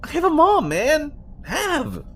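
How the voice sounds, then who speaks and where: A man's voice: very high-pitched, huge pitch swings, creaky, full character voice. A man playing a bewildered, defensive twenty-two-year-old slacker in an audio drama, slangy and incredulous. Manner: slangy, incredulous drawn-out delivery. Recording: faint background noise.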